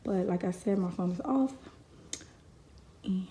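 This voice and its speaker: A woman's voice: medium pitch, measured pace, warm tone. Woman, young adult, unboxing vlog host, chatty, still getting over a cold, roomy echo, faint ambient hiss. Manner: chatty, addresses viewers as you guys. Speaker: vlogger host. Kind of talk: casual unboxing review. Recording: echoey room.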